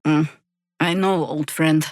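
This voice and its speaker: A seasoned battle-worn adventurer lady for a video game, wry and measured, lowish voice, dry close sound. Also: steady tone, a little mellow, no echo. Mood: wry, fond. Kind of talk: video game character dialogue and spell calls.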